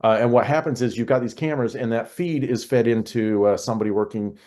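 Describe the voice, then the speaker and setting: An American man explaining things conversationally as an expert interview guest, in a halting way with frequent pauses and repetitions. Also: mid-range voice, a little mellow. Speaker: podcast guest, air traffic control expert.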